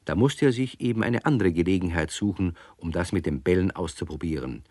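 Deep-voiced male storyteller, expressive. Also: brisk, no room sound, studio quality. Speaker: narrator. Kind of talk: scripted storytelling narration for children.

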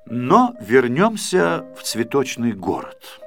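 A man reading a children's story as a scripted audiobook narrator, in an expressive, measured storytelling manner. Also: medium pitch, gravelly, soft background music.

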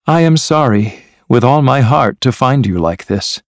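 Friendly young gentleman, the nephew, acted by a male narrator: raised pitch, warm-hearted earnest storybook delivery, dry recording. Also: animated, unhurried, dry recording. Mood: heartfelt. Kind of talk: storybook character voice.

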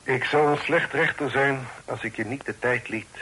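An actor playing a grave middle-aged father and magistrate in a radio play, with a husky voice and solemn, measured, formal delivery. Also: medium pitch, sharp tone, poor audio. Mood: grave, probing. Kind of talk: Dutch radio play acting.

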